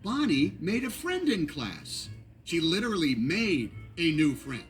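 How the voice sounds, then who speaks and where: An eager cowboy toy who takes charge and frets over the others. His voice sits high and leaps around with lively swings, and he pushes his words hard. Faint music plays under this animated film dialogue. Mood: upbeat. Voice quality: earnest, fast-talking, emphatic. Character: cowboy toy doll, loyal, anxious leader.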